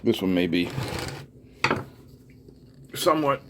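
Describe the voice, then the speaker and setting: Middle-aged man, lowish voice, casual workbench narration, muttering as he works, noisy recording with clicks. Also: animated, slow. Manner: unscripted, muttering to himself while working. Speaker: host of an electronics teardown video.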